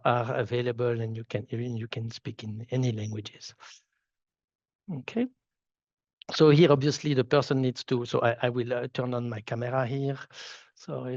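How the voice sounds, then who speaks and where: Swiss french guy walking through a software demo, lots of repeated words, dry close sound, kinda dull audio. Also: mid-range voice, vocal fry, dry recording. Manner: halting, repeats words while clicking through. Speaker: solution architect giving a software demo. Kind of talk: live product demo walkthrough.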